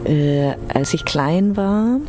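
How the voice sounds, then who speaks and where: A woman's voice: sits low, expressive, slow pace, over music. A woman who grew up in Iran and Germany, sharing personal memories as an interviewee.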